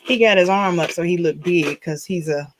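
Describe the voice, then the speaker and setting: A woman's voice: lowish voice, normal speed, crowd noise. A woman hosting a podcast, chatting casually, teasing her guest and laughing often. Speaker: podcast host. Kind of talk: casual chat.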